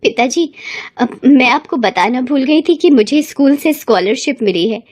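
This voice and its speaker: A woman's voice: high voice, lively intonation, normal speed, a little mellow. A woman voicing a determined, defiant young village daughter in a cartoon moral story, firm and earnest.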